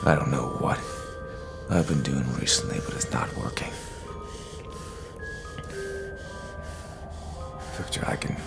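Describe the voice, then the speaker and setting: Guy doing a brooding superhero, really slow halting gravelly voice, audio drama with music behind. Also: rumbling low, exaggerated voice. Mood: pleading. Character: brooding, remorseful masked male superhero vigilante. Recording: music under the voice.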